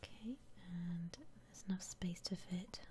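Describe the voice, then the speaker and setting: A woman whispering softly and breathily into a close microphone, musing aloud in casual ASMR gameplay commentary. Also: fairly low pitch, measured pace. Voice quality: soft breathy whisper, almost entirely unvoiced. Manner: gentle, musing aloud to herself. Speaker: ASMR gamer narrating her house renovation gameplay.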